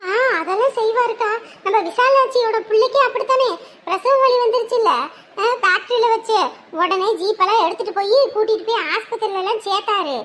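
A woman's voice: extremely high pitch, fairly quick, a bit bright. An actress playing a practical, reassuring woman labourer who supports her co-worker, in drama dialogue. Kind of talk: film or serial drama dialogue.

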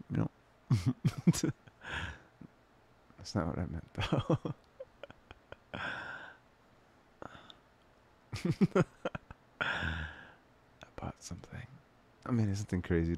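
Man acting as young devoted boyfriend, slow hesitant murmur, breathy near-whisper, crackly fry, playful, intimate ASMR roleplay, close mic. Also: low-pitched, heavily acted.

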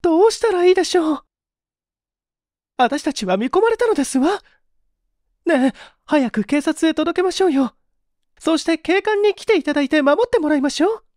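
A man's voice: extremely high pitch, lively intonation, normal speed, long pauses, a little mellow, no echo, clean studio sound, voice pushed up. A man voicing an anxious, fearful wife and mother who pleads in polite, feminine speech. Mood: panicked pleading.

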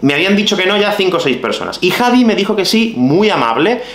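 Lively Spanish teacher with a softened Andalusian accent. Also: male voice, high-pitched, quick pace, roomy sound. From Málaga, Spain. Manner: animated, gestures with his hands, addresses viewers directly. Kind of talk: explaining to the camera for language learners. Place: small room at home.

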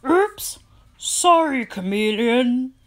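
Friendly storybook hippo, played by a woman: slow, exclaiming, with swooping pitch. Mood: wistful. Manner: exclaiming, big and expressive. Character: friendly hippo bored of being gray.

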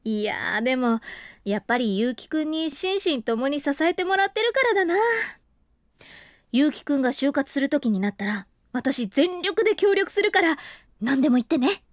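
A voice actress playing an overworked office woman who talks in a frank, expressive and flustered way, and also narrates the story. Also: high-pitched, moderate pace, no room sound, clean studio sound. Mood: chatty, flustered. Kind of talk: voice drama acting with inner narration. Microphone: close studio mic.

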